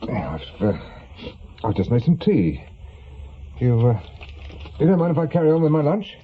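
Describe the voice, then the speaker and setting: A man's voice: medium pitch, sing-song, normal speed, slight background noise, lightly acted. A man playing an awkward, wry middle-aged ex-policeman turned private eye in a radio play, muttering and hesitant. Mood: awkward, evasive. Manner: hesitant, muttering, dryly sardonic. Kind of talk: naturalistic radio drama acting. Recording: dull, lo-fi radio drama recording.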